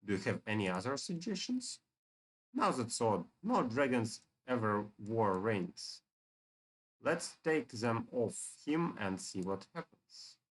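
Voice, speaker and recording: An earnest hero from a fantasy game speaks, read aloud by a man. The delivery is solemn and declamatory, at an average male pitch. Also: big pitch swings, slow pace. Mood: questioning. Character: earnest fantasy heroes returning to a ruined dragon village.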